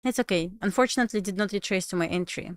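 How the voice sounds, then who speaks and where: A woman with a Russian accent, a native Russian speaker, chatting casually on a trading livestream in halting English with restarts. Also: mid-range voice, no room sound, clean studio sound. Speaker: livestream trader.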